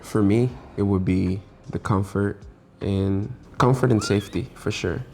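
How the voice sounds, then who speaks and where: Chill guy in his 20s, real deep voice, gravelly, short answers. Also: a little slow, a little mellow, faint music behind. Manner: laid-back, brief replies. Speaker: co-host of couple channel. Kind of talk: casual chat.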